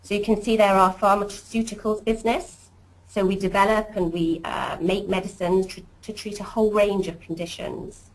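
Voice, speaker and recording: A woman hosts a corporate webinar, talking in a warm, chatty way. She often fills her pauses with ums and uhs, and her voice sits at a middle pitch. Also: steady tone, rough recording. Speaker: webinar host, programme director.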